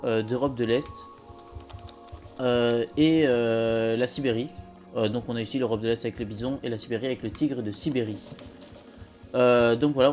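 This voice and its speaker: A man with a dark, mellow voice hosts a casual video game build tour. His delivery is hesitant and halting, as he explains things piece by piece in an informal commentary style. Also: medium pitch. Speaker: host presenting his zoo build.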